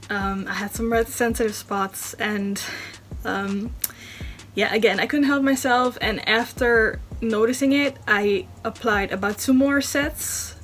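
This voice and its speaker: A woman's voice: medium pitch, a bit bright, a little hiss or hum. Chatty Dutch-accented woman vlogger. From Netherlands. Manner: chatty, self-deprecating, many ums. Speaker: beauty vlogger. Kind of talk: casual tutorial voice-over.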